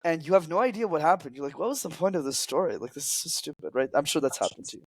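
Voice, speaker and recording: A young man who grew up speaking French, explaining storytelling as a public speaking coach in an energetic, teacherly way as a podcast guest. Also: on the high side, racing, breathy edge.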